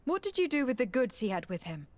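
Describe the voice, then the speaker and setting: An actress playing a noble young diplomat adventurer in a fantasy game, formal, composed and earnest. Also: female voice, a little high, big pitch swings, quick pace, darkish tone. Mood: questioning. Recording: reverberant hall, music underneath.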